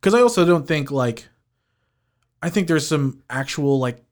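A man co-hosting a podcast, chatting casually about a movie, animated and often restarting his sentences with a laugh. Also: fairly high pitch, a little slow. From Filipino heritage, living in the United States. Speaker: podcast co-host. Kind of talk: casual movie review chat.

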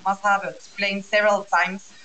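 A woman's voice: medium pitch, flat delivery, normal speed, sharp tone, slight background noise, low-quality recording. A woman, co-hosting a casual interview and asking long, earnest questions. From Uruguay.

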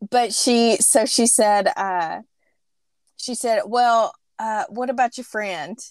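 A woman's voice: a little high, slow pace, no room sound. A woman in her thirties hosting a podcast, telling a rambling, chatty story. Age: 30s. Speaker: podcast host. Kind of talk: casual chat.

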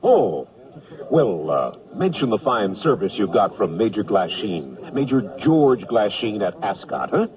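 An actor playing a vain, officious army major who is easily riled, in 1970s radio comedy style. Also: male voice, lowish voice, big pitch swings, measured pace. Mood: self-important, officious. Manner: officious, hesitant uhs, spelling things out emphatically. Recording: tinny old radio broadcast, crowd noise behind.

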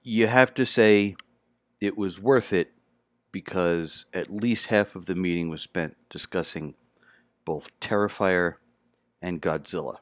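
Podcast host: tired American man, very low voice, casual, close and dry sound. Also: unhurried, no echo. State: exhausted.

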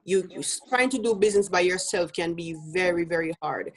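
A woman's voice: fairly low pitch, fairly quick. Jamaican woman business coach talking. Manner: earnest, laughs easily, many fillers. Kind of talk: interview guest.

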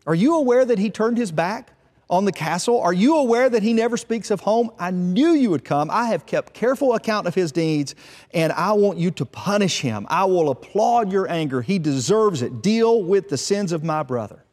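Parable character: smug, accusing brother played by a male storyteller, raised pitch, insistent tattling, long hall reverb. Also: big pitch swings, fairly quick, few pauses, a little mellow. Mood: accusing, eager. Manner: insistent, tattling, dismissive of himself. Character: smug, fault-finding younger brother, eager to accuse.

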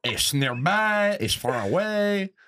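A man voicing a clueless fake-French character in a mock accent, speaking halting, simple phrases. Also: high-pitched, big pitch swings, noisy background, voice pushed up, heavily acted. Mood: playful.

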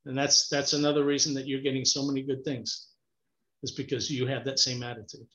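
A man's voice: medium pitch, a little fast. A man from Cuba, a guest author, telling stories in a casual interview over a remote call and talking with his hands. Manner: animated storyteller, talks with his hands. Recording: echoey, muffled call audio.

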